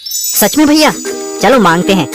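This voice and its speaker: A woman acts out an eager little boy in a children's cartoon. The delivery is childlike and pleading, the hungry kid brother. Music plays clearly behind. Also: mid-range voice, big pitch swings, normal speed, close to an everyday voice. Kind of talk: children's cartoon story acting.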